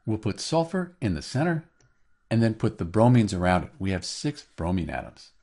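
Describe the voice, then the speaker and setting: Low-pitched man explaining with lively intonation. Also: normal speed. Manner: clear step-by-step explaining. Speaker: chemistry teacher, tutorial host. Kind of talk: scripted instructional narration.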